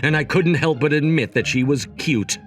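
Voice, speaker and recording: A man's voice: on the high side, normal speed, close to an everyday voice. An actor playing a smug, logic-obsessed young man who narrates and banters theatrically in a manga dub. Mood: wry, reflective. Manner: smug, wordy, theatrical, dramatic asides. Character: cocky, logic-obsessed young male job seeker, teasing romcom narrator. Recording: music behind the voice.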